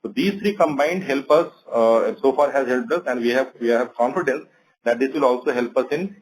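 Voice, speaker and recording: A man's voice: medium pitch, moderate pace, slight background noise, low-quality recording. A man with an Indian accent, a bank executive methodically explaining points on an earnings conference call.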